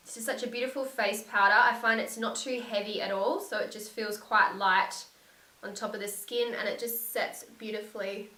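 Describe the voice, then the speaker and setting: A young woman with a slightly croaky voice from a cold, narrating a makeup tutorial and gushing softly about the products. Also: mid-range voice, recorded from a distance. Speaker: beauty vlogger. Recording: echoey room, set back from mic.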